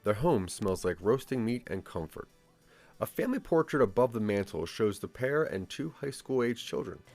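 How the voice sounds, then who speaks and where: A man's voice: mid-range voice, animated, moderate pace, darkish tone, faint music behind. A man narrating a scripted second-person story for an interactive audio drama.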